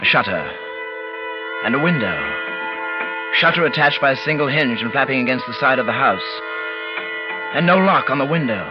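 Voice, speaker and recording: A man's voice: mid-range voice, lively intonation, natural voice. Man acting as brooding, uneasy radio-thriller narrator. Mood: tense, wary. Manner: deliberate, dramatic, brooding. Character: tense, uneasy man narrating his own frightening night. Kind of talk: 1940s radio drama, first-person narration. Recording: dull vintage radio, music behind.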